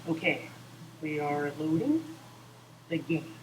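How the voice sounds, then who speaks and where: A man co-hosting a livestream in casual, deadpan, halting banter, recorded from a distance. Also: on the high side. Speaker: co-host of a live game preview stream. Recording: distant mic, faint background noise.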